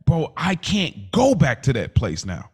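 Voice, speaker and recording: A man's voice: a little high, huge pitch swings, measured pace, darkish tone, husky. American man talking candidly in casual slang. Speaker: podcast co-host. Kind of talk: casual conversation.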